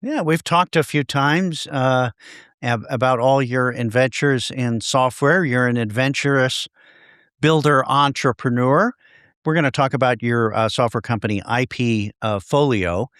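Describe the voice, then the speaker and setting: A man's voice: mid-range voice, measured pace, fairly bright tone, clear, resonant tone, dry recording, studio quality. A man hosting a podcast, upbeat and conversational as he introduces and questions his guest. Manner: upbeat, conversational, some restarts. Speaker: podcast host. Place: home in Dallas.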